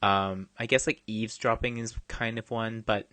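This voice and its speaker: Young guy from china, grew up in canada, low voice, stumbles and laughs while chatting. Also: fairly even intonation, sharp tone, no room sound. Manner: halting, many restarts, laughs easily. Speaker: podcast co-host. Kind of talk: casual podcast chat.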